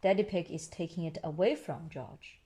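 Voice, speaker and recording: A woman reading a children's story aloud in an animated style, explaining simply as she goes. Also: on the low side, normal speed, slightly rough.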